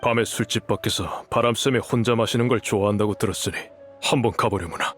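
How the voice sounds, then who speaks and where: A male actor plays a dignified older merchant with a low voice and a measured, elderly formality. He is a gentle, remorseful father figure in a game drama, with soft music underneath. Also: fairly even intonation, lightly acted. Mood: grave, resigned. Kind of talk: dubbed video game acting.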